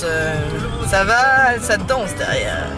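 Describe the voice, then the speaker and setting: A woman's voice: mid-range voice, huge pitch swings, slow, loud music behind the voice, a loud crowd. A woman in her forties, chatting and laughing while she films a family road trip from inside a car. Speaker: vlog co-host. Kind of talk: casual family travel vlog. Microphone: handheld camera at arm's length.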